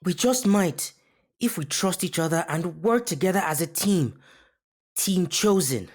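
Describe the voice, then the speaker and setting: A woman playing an earnest, clever boy adventurer with a purposeful delivery, reading an animated series script. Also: lowish voice, measured pace, husky. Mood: determined. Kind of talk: animated series script at a live table read.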